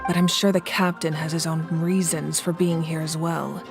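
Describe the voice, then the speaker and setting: An actress playing a confident, composed warrior ruler in a video game, speaking with measured authority. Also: female voice, deep voice, little pitch movement, gravelly. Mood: thoughtful. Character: commanding, confident adult female ruler and warrior deity. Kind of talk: dramatic video game voice acting. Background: music.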